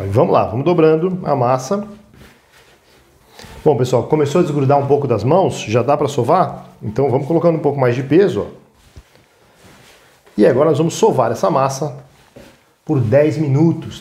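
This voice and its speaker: A man's voice: medium pitch, expressive, moderate pace, pauses often, dark tone. A man with a Brazilian Portuguese accent hosting a casual step-by-step cooking demonstration, informal and instructive, often adding little check-in tags. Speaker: cooking show host.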